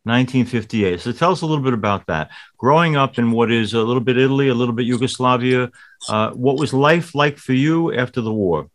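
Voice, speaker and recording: A man's voice: medium pitch, brisk. Man hosting a faith talk show. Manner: warm scripted intro, then easy conversational questions with fillers. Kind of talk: television talk-show interview.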